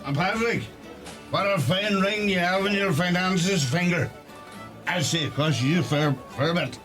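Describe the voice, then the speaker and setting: A high-pitched Irish pub regular, played by a male actor, needles and teases. It is radio drama, with music clearly under the voice. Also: unhurried, a bit bright. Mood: admiring. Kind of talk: radio play acting.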